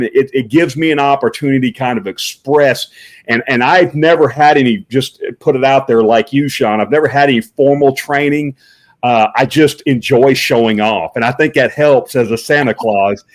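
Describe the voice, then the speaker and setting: Booming-voiced man talking as a guest. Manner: booming voice, filler-heavy with uhs and restarts. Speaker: professional Santa Claus performer, guest. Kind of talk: casual interview answers.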